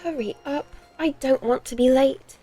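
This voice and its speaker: An actress plays a sardonic vampire lady in a Western horror audio drama. Her voice sits fairly high yet stays mellow, with prim, formal diction and a dry, haughty air. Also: normal speed, soft background music. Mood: testy.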